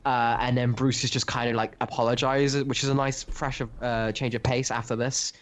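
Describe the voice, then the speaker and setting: A man co-hosting a podcast, talking casually through comic books in a halting, rambling way. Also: medium pitch, little pitch movement. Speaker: podcast co-host reviewing comics. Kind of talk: casual chat, comic discussion.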